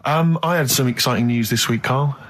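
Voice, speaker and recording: A man's voice: mid-range voice, a little fast, over music. A man co-hosting a radio show, chatting casually in a wordy, mock-formal way.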